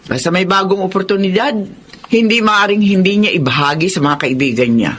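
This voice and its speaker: A woman's voice: fairly low pitch, a little fast, fairly bright tone, slight background noise, close to an everyday voice. A woman playing a chatty, gossipy family friend in a radio drama.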